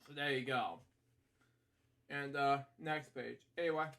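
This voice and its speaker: A man hosting a bedtime story read-aloud, halting and rambling as he drifts into casual asides. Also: medium pitch, fairly even intonation, vocal fry, a bit bright. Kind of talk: picture-book read-aloud with casual off-the-cuff commentary.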